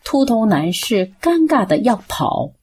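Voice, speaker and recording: A woman's voice: mid-range voice, big pitch swings, measured pace, darkish tone, breathy edge. A woman narrating a scripted radio drama.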